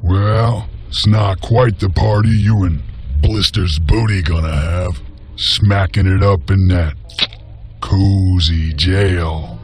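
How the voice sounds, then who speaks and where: Game bounty hunter: gruff cowboy drawl, extremely low, unhurried delivery. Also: male voice, fairly even intonation, a little mellow. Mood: cold menace. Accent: American Western drawl. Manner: slow, terse, menacing. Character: gruff, laconic male bounty hunter gunslinger of the Old West. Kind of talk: western video game acting. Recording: music behind the voice.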